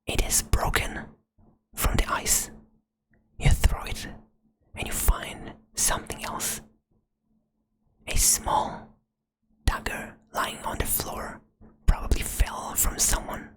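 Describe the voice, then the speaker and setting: A woman's voice: slow, pauses often. A woman whispering a fantasy adventure to the listener in the second person, close to the microphone, as ASMR narration. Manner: soft whisper throughout, second-person telling. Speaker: storyteller.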